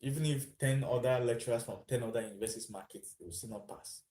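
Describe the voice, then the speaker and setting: A man's voice: mid-range voice, fast talker, dark tone. A man hosting a live stream, thinking aloud with frequent fillers and answering viewer comments. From Nigeria.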